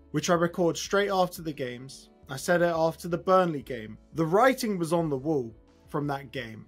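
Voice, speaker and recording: A man in his thirties giving a blunt, emphatic, exasperated fan reaction to camera after a football match, with a husky voice. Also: a little high, fairly bright tone. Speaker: football fan channel host. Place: small room at home, indoors.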